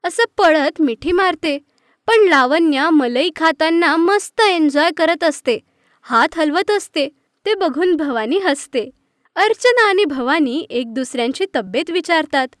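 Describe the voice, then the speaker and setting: A woman's voice: high-pitched, animated, measured pace, no room sound, studio quality. A woman narrating a scripted children's story.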